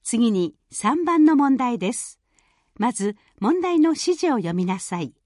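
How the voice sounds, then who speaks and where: A woman's voice: on the high side, very animated, brisk, a little mellow, no echo. Formal female exam announcer, very dry studio sound. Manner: formal, carefully enunciated. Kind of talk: scripted formal test instructions.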